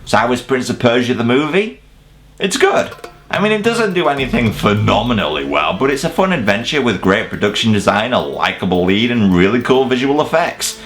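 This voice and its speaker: A man's voice: mid-range voice, big pitch swings, a little fast, fairly bright tone, soft background music. A man hosting a scripted countdown review, with casual swearing and mock-incredulous asides. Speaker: video reviewer and host.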